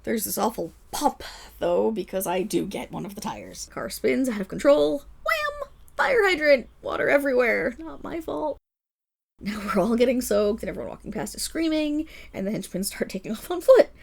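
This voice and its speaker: A woman's voice: on the high side, big pitch swings, moderate pace, gravelly, heavily acted. A woman playing a flustered, boastful female superhero who rambles defensively through a dramatic public apology speech in an audio roleplay. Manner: rambling, defensive, dramatic storytelling with nervous laughs. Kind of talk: scripted audio roleplay monologue as a press conference speech.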